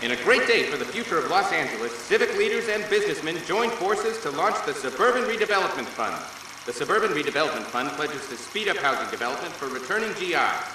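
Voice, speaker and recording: Echoey, fast 1940s newsreel announcer. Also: male voice, on the high side, sing-song. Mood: grandly promotional. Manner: declamatory, formal. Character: upbeat 1940s newsreel announcer, pompous and promotional. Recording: echoey, noisy old newsreel film soundtrack.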